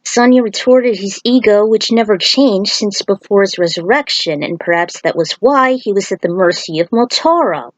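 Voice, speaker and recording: A woman's voice: mid-range voice, animated, moderate pace, hardly any pauses, no room sound, poor audio. A woman reading an action story aloud as a narrator, with casual asides. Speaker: fan fiction author reading aloud.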